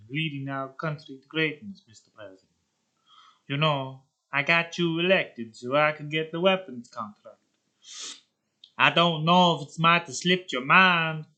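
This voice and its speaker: Guy doing a smug foreign bad guy, wobbly fake accent, pitched up and forceful. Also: highs cut off. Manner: put-on, wandering foreign accent. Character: theatrical foreign villain congratulating a president.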